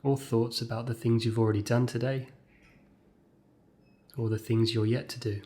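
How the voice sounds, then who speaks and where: A man leading a guided meditation as a scripted exercise, speaking in measured short phrases with gentle pauses. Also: mid-range voice, steady tone.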